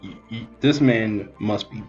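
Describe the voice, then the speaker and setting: A man's voice: fairly low pitch, unhurried, highs cut off, music in the background. A young man giving casual, wry and sarcastic reaction commentary as he plays a video game. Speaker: gaming video creator.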